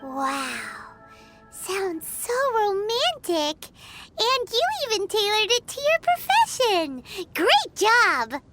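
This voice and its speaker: A woman voicing a tiny, excitable childlike fairy companion in a video game, squeaky and exclamatory. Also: takes it slowly, heavily acted. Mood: delighted. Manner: exclamatory, refers to herself by name. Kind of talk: animated video game acting. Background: music.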